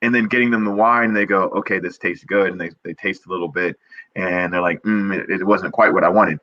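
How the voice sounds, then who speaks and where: A young man with a low, forceful voice talks earnestly about his craft, tumbling into restarts and "um"s as his enthusiasm runs ahead. The sound is dry and a little muffled, like audio from a video call. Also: fairly even intonation, a little fast, dry recording. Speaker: perfumer guest. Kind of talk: casual interview chat.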